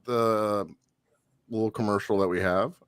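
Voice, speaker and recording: Upbeat male co-host with a low voice, dry close-mic sound. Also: steady tone, measured pace, clear, resonant tone, no echo. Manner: upbeat, announcing, joking. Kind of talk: casual live-stream chat. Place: home studio, indoors. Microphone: headset.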